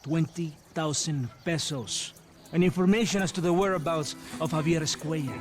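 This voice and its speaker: An actor playing a smarmy, menacing Mexican army captain in a video game, with a Mexican accent and an oily, mocking manner. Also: male voice, fairly high pitch, moderate pace, natural voice. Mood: formal, smug. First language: Spanish. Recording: music behind the voice.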